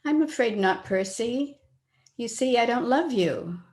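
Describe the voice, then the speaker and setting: A woman playing a self-possessed, gently frank and teasing young woman in old-time radio drama style. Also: a little high, expressive, unhurried. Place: at home, recorded over a video call.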